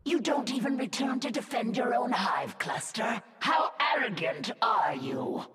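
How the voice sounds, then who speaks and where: A fierce alien broodmother from a video game, haughty and menacing. She taunts slowly and deliberately, her pitch sweeping widely over backing music. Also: animated, raised voice. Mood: taunting. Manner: taunting, haughty, theatrical declarations. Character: fierce defiant female alien insectoid broodmother, arrogant and menacing. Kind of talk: video game villain acting.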